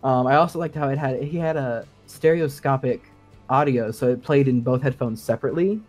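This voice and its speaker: A man's voice: mid-range voice, brisk, a little mellow, faint music behind. A man in a casual podcast discussion, explaining things in an enthusiastic, nerdy way. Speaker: guest sound designer.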